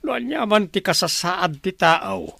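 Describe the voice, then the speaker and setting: Casting: admonishing Filipino villager, gravelly, on the higher side, dry radio drama sound. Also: male voice, expressive, unhurried, pushed and bright, dry recording, exaggerated voice. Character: sensible village man, scolding and moralizing. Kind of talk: Ilocano radio drama acting.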